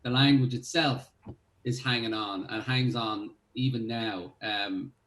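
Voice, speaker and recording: A man's voice: mid-range voice, steady tone, unhurried, fairly bright tone, roomy sound, low-quality recording. An Irish man, a filmmaker, answering interview questions in a reflective way with many fillers and restarts. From Ireland. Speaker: filmmaker who cast the film.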